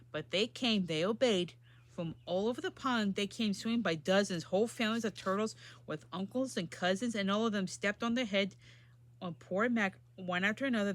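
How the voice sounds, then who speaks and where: Female storyteller, mid-pitched, reading a picture book to children with simple chatty asides. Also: fairly bright tone, no echo.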